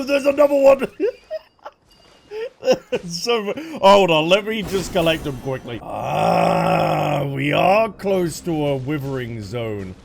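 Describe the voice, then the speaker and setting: Chatty male gamer, very lively pitch. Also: creaky, slight background noise. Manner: reactive, exclamatory, chatty. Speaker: gaming content creator. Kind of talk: casual playthrough commentary. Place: game audio and music behind voice.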